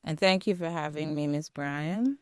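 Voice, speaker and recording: Low-voiced Jamaican woman, clear and dry. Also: dry recording. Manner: reflective, measured storytelling. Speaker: guest, educator and author. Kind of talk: interview conversation.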